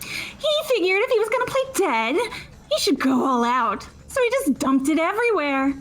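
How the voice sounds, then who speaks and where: A woman's voice: very high-pitched, big pitch swings, moderate pace. A woman in a fan-voiced game playthrough, playing a blunt, mocking teenage girl with a casual, dismissive way of talking. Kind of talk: scripted game dialogue read aloud by fans. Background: background music.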